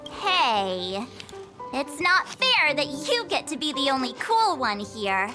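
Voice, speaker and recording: A bubbly teenage girl adventurer in a video game. Her voice is high and swings up and down expressively. Music plays clearly behind her. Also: big pitch swings, slow, exaggerated voice. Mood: confident, teasing. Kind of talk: scripted anime-style game dialogue. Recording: loud music bed.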